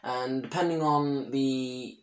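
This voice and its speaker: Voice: medium pitch, slow pace, rough recording. An amateur reader narrating a story and breaking into informal, self-deprecating asides. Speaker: amateur fan fiction reader.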